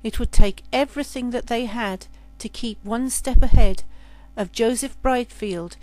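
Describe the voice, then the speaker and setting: A woman's voice: a little high, a little slow, darkish tone, faint music behind, low-quality recording. A woman storyteller reading a scripted science fiction tale as a narrator.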